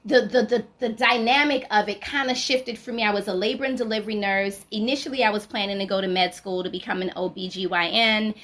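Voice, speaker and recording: A woman's voice: medium pitch, fairly even intonation, a little fast, hardly any pauses, fairly bright tone. Chatty middle-aged New woman. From New Orleans, USA. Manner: chatty and storytelling, with frequent ums and "right?". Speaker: podcast guest, midwife and founder. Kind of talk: casual interview chat.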